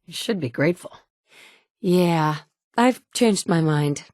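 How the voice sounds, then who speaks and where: A hardened wasteland survivor woman speaks in short, firm lines with a lowish voice. The sound is close and dry, with no room around it. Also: lively intonation, takes it slowly, a little mellow, no room sound. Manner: terse and firm, often curt. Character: tough adult woman wasteland survivor, blunt leader. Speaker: player character. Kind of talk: video game dialogue lines. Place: studio booth.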